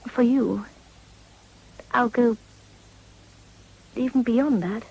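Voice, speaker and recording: A woman's voice: a little high, extremely slow, gravelly, warm tone, husky, exaggerated voice, poor audio. An actress playing a decorous, determined young well-born Englishwoman in a period radio drama, with refined, formal English diction. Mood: earnest, intimate.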